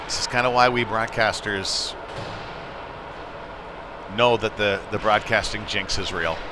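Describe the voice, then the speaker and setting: A man's voice: fairly low pitch, unhurried. Man calling hockey over rink noise. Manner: conversational, with excited bursts on big plays. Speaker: play-by-play sports broadcaster. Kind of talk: live youth hockey commentary. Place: ice rink, live broadcast. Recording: noisy arena ambience behind the voice.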